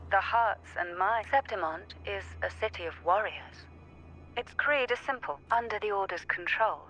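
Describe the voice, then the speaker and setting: A woman's voice: medium pitch, a bit bright. A woman playing a refined, knowing noblewoman in a video game, talking formally and teasingly through a call filter. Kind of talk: video game character acting.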